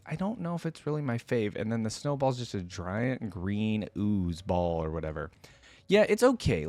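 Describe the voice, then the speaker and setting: A man's voice: medium pitch, no room sound. A man giving casual, unscripted reaction commentary as a video host, chatty and thinking aloud.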